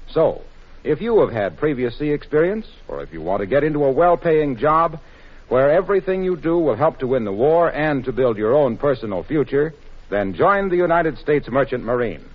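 A man announcing in scripted 1940s radio style, delivering sponsor pitches and appeals. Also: expressive, normal speed. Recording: muffled, worn 1940s radio recording.

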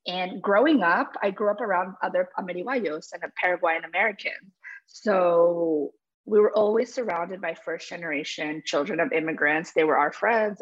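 A young woman from New Jersey co-hosting a podcast, talking casually with lots of "like" fillers. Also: fairly low pitch. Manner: conversational, many "like" fillers. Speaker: podcast co-host. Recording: dull lo-fi podcast audio.

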